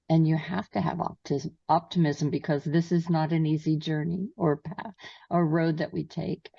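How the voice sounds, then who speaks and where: A woman's voice: low voice, gravelly, dark tone, no room sound. A woman hosting an interview podcast, reading her guest's bio with small stumbles and then asking questions. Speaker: podcast host. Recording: distant, dull, dry mic sound.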